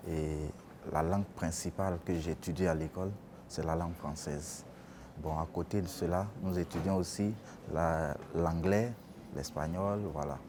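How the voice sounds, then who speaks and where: A man's voice: sits low, steady tone, normal speed. A young man, a student answering a street interview in a composed, slightly formal way. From Côte d'Ivoire. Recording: noisy outdoor background.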